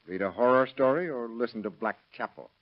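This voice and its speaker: Male actor as a hard-boiled cop, rapid delivery, sardonic, clipped, muffled vintage radio. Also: mid-range voice, lightly acted. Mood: wry, dismissive. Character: brisk, sardonic, skeptical male police detective. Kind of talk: 1930s radio drama acting. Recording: dull vintage radio recording.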